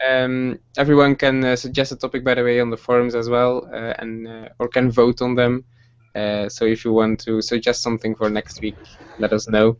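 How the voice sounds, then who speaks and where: A man in his twenties from Belgium hosting a casual group livestream, relaxed and halting with many fillers and soft laughs. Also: medium pitch, clear, resonant tone, lo-fi sound. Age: 20s. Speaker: livestream host.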